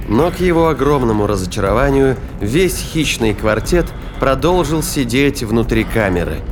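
Male audiobook narrator over music. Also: medium pitch. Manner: measured, storytelling. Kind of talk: scripted audio drama narration.

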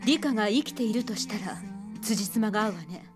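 An actress playing a composed female investigator who reasons aloud calmly in a radio drama. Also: medium pitch, music in the background, natural voice. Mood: measured. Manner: calm, measured, reasoning aloud.

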